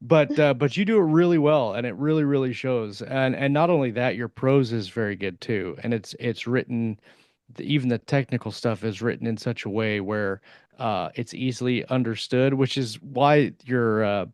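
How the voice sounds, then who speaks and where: A man's voice: medium pitch, normal speed, a little mellow, dry recording. A man co-hosting a podcast, chatting casually in an informal, rambling way. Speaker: podcast co-host and author.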